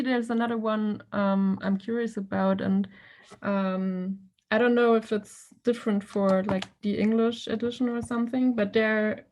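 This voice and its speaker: A woman asks a question on a video call, often pausing with "um" as she looks for her words. Her voice sits in a middle pitch, and she sounds casual and a little unsure. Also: darkish tone. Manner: hesitant, searching for words. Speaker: book club member asking a question.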